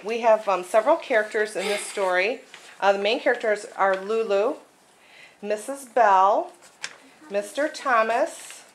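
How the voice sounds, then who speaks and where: A woman hosting a library program, introducing it to an audience in an informal, encouraging way. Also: mid-range voice. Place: room at a public library. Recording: echoey room with clear background noise.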